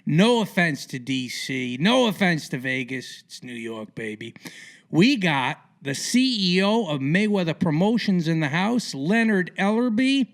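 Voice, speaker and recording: A man hosting a casual podcast interview in a colloquial, theatrical way with punchy, emphatic phrasing and a gravelly voice. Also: fairly high pitch, sing-song, measured pace. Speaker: podcast host.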